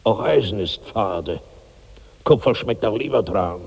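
Radio-play glutton: older, enormous, demanding, husky, unhurried delivery. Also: male voice, on the low side, lively intonation, a little mellow, in a large hall, heavily acted. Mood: jaded, dissatisfied. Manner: slow, grumbling, demanding. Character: gluttonous 65-year-old giant man, insatiably hungry, demanding. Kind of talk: 1960s German radio drama acting.

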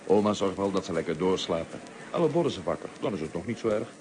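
A man's voice: low voice, lively intonation, quick pace. An actor playing a good-humoured young husband and father in a Dutch radio play, polite and bantering. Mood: amused. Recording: noisy old radio play recording.

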